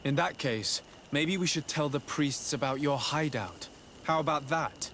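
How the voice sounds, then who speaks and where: A man playing a composed, pragmatic diplomat in a video game, speaking in a measured, formal way. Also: a little high, a bit bright, a little hiss or hum. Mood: coldly firm. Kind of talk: video game cutscene acting.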